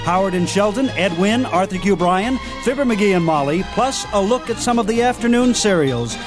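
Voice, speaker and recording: A male radio host delivers scripted announcer narration in a fairly high voice. Music plays clearly behind him. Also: animated, moderate pace. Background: loud, clear music.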